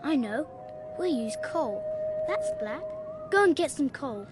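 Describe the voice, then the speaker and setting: Bossy, taunting, high-voiced older child. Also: female voice, huge pitch swings, unhurried, over loud music, heavily acted. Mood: bossy, menacing. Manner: commanding, needling delivery. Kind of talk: radio horror drama acting.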